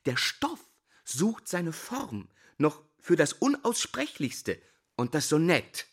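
An actress playing a musing teacher lecturing on poetry in a radio drama, incantatory and repeating syllables. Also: female voice, deep voice, very animated, slow, husky, heavily acted. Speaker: actor.

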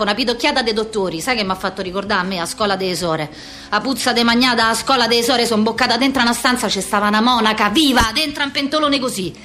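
Brash Roman street girl: very high, sharp voice, coarse slang, combative theatrical rambling. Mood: agitated storytelling. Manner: coarse, exclamatory, repetitive street talk.

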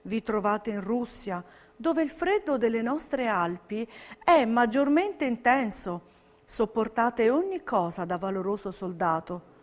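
An earnest young patriot of wartime Italy in a stage drama. Her high voice reads with theatrical devotion, ringing through a long hall reverb. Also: animated, measured pace. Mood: tender, earnest. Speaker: stage actress narrating historical testimonies.

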